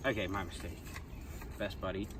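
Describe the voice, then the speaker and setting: A man's voice: deep voice, flat delivery, moderate pace, crowd noise, lightly acted. A man playing a callous, self-important young guy in improvised comedic role-play, talking casually and bossily to his buddy. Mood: chummy. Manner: casual, bossy, needling. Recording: noisy hobby recording.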